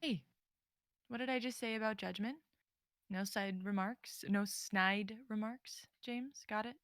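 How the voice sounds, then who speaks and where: A woman's voice: medium pitch, fairly even intonation, a little slow, a bit bright, no room sound, studio quality. A woman actor reading the part of a group leader in a script, kind but firm.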